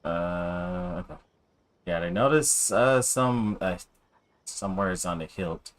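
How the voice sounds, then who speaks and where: A man chatting casually as a live game streamer, in a hesitant, halting way. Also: sits low, expressive. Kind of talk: casual game livestream chat.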